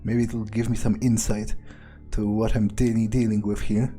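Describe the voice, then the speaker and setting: A man's voice: lowish voice, flat delivery, normal speed, mellow, faint music behind. A man roleplaying his way through a video game, thinking aloud in character with a musing, sardonic, sometimes profane manner.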